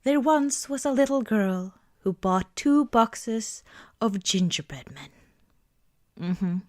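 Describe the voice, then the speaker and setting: Female ASMR performer, intimate close-mic roleplay, soft half-whispered delivery, leisurely pace, no room sound. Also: fairly high pitch, expressive, dry recording. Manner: gentle, unhurried, often dropping into whispers. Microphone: close microphone, dry sound.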